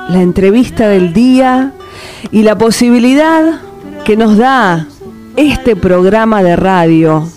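A woman's voice: medium pitch, warm tone. A woman hosting a live radio interview, speaking in effusive, lyrical and welcoming phrases. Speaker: radio host.

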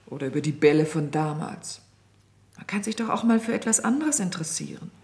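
An actress playing the naive, eager youngest of a group of genteel ladies in a radio play, with a husky, breathy voice. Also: female voice, sits low, expressive, a little fast, darkish tone, exaggerated voice. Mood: petulant. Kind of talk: German radio play acting.

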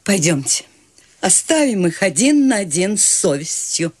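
An actress playing Maude, an eccentric, free-spirited older woman, lively and teasing, in a radio play. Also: medium pitch, a little slow, husky.

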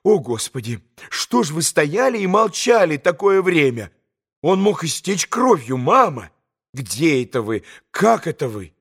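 A man voicing a bossy, excitable young girl. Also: a little high, a little slow. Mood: commanding, frantic. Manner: commanding, urgent, impatient outbursts.

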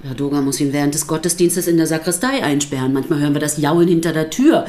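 Lower-voiced sardonic pastor's wife , played by an actress. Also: female voice, fast, warm tone, lightly acted. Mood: dry amusement. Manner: dry, articulate, dismissive. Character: sceptical, sardonic pastor's wife under questioning. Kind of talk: naturalistic radio drama acting.

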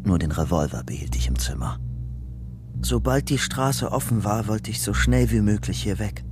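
A man's voice: on the low side, expressive, moderate pace, natural voice. An actor playing an anxious, guilt-ridden young man on the run in a crime radio drama. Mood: grim, subdued. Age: young adult. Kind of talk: German crime radio drama, first-person narration. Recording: music bed behind the voice.

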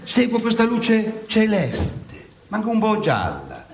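A man's voice: high voice, wildly swooping pitch, gravelly, slight background noise, heavily acted. A man playing a boastful, cantankerous folksy saint in a comic stage dialogue, with a theatrical, rambling delivery. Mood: mock-solemn boasting. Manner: theatrical, rambling, drawn-out then bursting out. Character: boastful, cantankerous folksy male saint telling his miracles. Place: theatre stage, live audience. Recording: echoey theatre stage with audience.

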